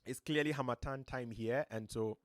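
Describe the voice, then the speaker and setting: A Ghanaian man with a deep voice, giving earnest, motivational talk as host of a live stream from his home studio, his voice a little strained. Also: fairly even intonation, normal speed, no echo. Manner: earnest, motivational, emphatic rises. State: voice slightly strained.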